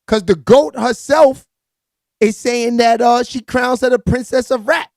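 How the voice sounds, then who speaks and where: A man hosts a live hip-hop gossip stream. He talks in a hyped, slangy way and keeps shouting out his viewers. His voice sits close and dry, with no room sound around it. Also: very high voice, unhurried, no echo. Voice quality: raspy. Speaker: live-stream entertainment news host.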